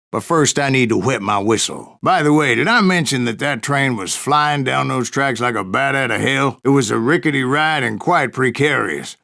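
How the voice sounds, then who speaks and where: An actor playing a grizzled old bounty hunter in a video game, narrating boastful tall tales in a gravelly American Western drawl. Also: male voice, mid-range voice, a bit bright. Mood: grim, determined. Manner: folksy frontier drawl, boastful, salty storyteller.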